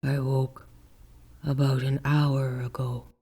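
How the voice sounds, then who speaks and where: A man acts out a strange, childlike man in a horror tale, lost and bewildered. His voice is dark and breathy, and he speaks very slowly, in halting, dreamy fragments. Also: mid-range voice, little pitch movement. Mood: blank, confused. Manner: slow, halting, dreamy, rambling in short fragments. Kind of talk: dramatic horror story reading.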